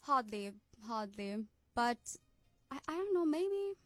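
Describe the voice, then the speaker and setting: A woman's voice: sits high, very animated, faint music behind. A young woman from South Africa with an American-sounding accent, a cheerful musician guest on a radio interview who laughs easily. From South Africa, Durban. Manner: cheerful, laughs often, quick asides.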